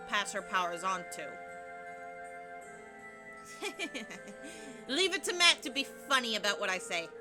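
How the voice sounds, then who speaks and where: High, lively-voiced woman gamer. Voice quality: light, youthful voice she compares to a teen boy's. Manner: chatty, self-deprecating, with frequent laughs. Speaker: let's play narrator. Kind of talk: casual gameplay commentary. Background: game music.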